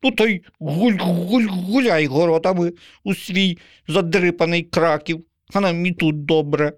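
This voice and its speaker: A man's voice: high-pitched, a little slow, voice pushed up. A man voicing a nervous, grumpy male pigeon who snorts and mocks. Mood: mocking. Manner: snorting, twitchy, mocking.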